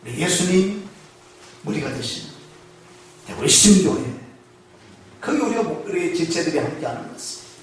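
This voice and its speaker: A male pastor preaching a Sunday sermon, asking the congregation questions and slowing down to stress key phrases. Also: high-pitched, animated, a little hiss or hum. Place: church sanctuary. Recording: echoey church hall, mic far off.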